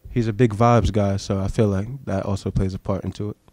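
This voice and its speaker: A young man with a low, dark voice answers reporters briefly at a table microphone. His pitch barely moves and his replies are plain and to the point. The sound is dry and close. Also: flat delivery, a little fast, no room sound. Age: young adult. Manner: brief, matter-of-fact answers. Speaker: college basketball player. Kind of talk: postgame press conference answers. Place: press conference room. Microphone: table mic.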